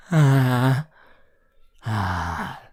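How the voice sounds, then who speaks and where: A voice actor playing a possessive, unhinged yandere lover in an ASMR roleplay, slow, intimate and breathy, swinging between tender and manic. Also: medium pitch, exaggerated voice. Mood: playful, needy. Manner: slow, drawn-out, intimate, swelling from whispers to loud outbursts.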